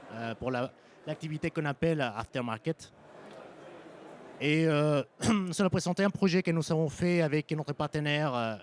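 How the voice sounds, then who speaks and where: A man with a Mexican Spanish accent giving a conference presentation as a sales engineer, pausing often with ums and restarting phrases. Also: a little high, slight background noise. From French-Mexican. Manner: hesitant, frequent ums and restarts. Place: conference hall.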